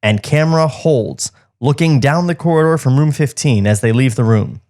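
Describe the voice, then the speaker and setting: A man's voice: medium pitch, normal speed, darkish tone. A man co-hosting a podcast, reading stage directions aloud in a table read with joking asides.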